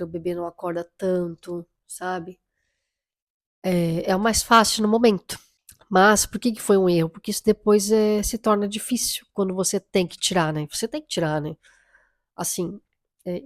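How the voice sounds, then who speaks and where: A woman speaks in a low, slightly hoarse voice, worn by a lingering cold. She talks in a casual, reflective way, often checking in with her listener. The sound is dry, with no room echo. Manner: chatty, reflective, often tags lines with "right?" and "you know?". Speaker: mother vlogger. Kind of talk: casual chat to camera.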